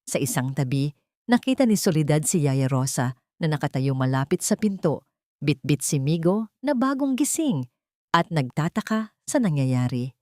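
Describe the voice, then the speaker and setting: A woman with a fairly low voice tells a sentimental story as scripted narration. Her delivery is solemn and emotive, and the sound is close and dry. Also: big pitch swings, moderate pace, dark tone, dry recording.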